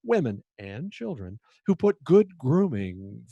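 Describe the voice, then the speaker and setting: A man's voice: mid-range voice, sing-song, slow, vocal fry, warm tone, dry recording, muffled recording, exaggerated voice. A man playing an earnest 1940s radio sponsor announcer, with a polished, emphatic sales delivery. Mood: earnest selling.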